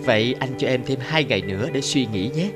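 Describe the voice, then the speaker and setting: Actor as smug, manipulative young cartoon villain. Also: male voice, medium pitch, animated, a little fast, over music, natural voice. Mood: smug, threatening. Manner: insinuating, coaxing with veiled threat. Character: smug, manipulative blackmailing ex-boyfriend, young man. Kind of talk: animated drama voice acting.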